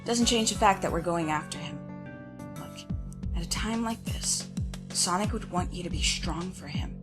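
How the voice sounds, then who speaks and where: A woman's voice: fairly low pitch, moderate pace, close to an everyday voice. A woman playing a commanding, assured female federal agent who leads her team in an audio drama. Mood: reassuring, firm. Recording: music bed under the voice.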